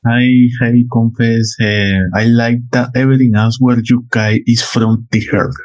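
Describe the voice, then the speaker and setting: Male TV interviewer, mid-range voice, Latin American Spanish accent, halting and courteous. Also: medium pitch, little pitch movement, darkish tone, poor audio. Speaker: TV interviewer, host. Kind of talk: conversational interview questions.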